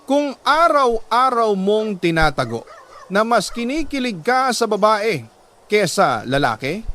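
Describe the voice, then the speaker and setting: A Filipino man with a slightly high voice narrates a heartfelt letter in the manner of a storytelling host. He speaks deliberately and emotively, pausing between short phrases. There is some background noise behind him. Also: sharp tone. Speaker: radio-style host reading listener letters. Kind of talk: dramatic narration of a listener's confession letter.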